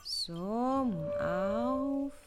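Very slow, coaxing female carer. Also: on the high side, lively intonation, over loud music. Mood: gently insistent. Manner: short coaxing phrases with many pauses. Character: patient female nursing-home carer coaxing a resident to eat. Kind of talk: naturalistic radio drama acting.

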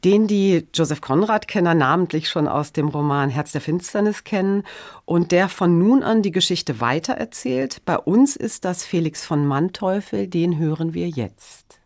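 Woman guest in an interview, lowish voice, articulate and thoughtful, close dry sound. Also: expressive, normal speed, darkish tone, dry recording. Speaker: interviewed guest from the radio play production. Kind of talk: explanatory interview talk.

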